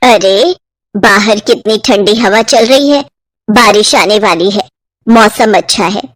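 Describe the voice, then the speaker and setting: A woman's voice: medium pitch, slow pace. A woman voicing a stingy, scolding middle-aged mother-in-law in a cartoon, with a bossy, penny-pinching way of talking. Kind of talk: cartoon voice acting.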